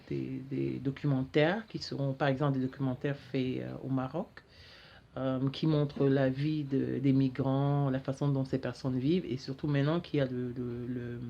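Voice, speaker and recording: Halting woman hosting a show. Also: extremely low pitch, gravelly, mellow, muffled recording, a little hiss or hum. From Cameroon. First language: English. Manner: halting, repeats words while searching for them. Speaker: talk show host. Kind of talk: solo monologue to camera.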